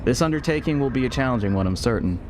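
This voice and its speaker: An actor playing an ambitious, self-assured military research officer in a sci-fi audio drama, speaking in a measured way. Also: male voice, medium pitch, expressive, noisy background, natural voice. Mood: confident, wry.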